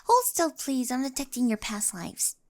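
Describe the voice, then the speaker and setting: A woman's voice: high-pitched, very animated, normal speed. A woman playing a socially oblivious young researcher cousin, formal, clinical and earnest, in an ASMR roleplay. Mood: clinical, eager.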